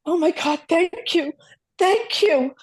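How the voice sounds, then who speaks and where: Frightened mother acted by a woman: high pitch, halting and pleading, desperate, for a dramatic script reading. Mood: frantic. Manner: halting, pleading, repeats herself. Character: desperate, frightened mother obeying her child's kidnapper. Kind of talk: screenplay table read.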